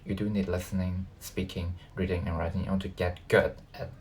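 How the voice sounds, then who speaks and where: A man who natively speaks Cantonese, giving casual reaction commentary as a video host, haltingly thinking aloud. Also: low voice, little pitch movement, a little mellow, slight background noise.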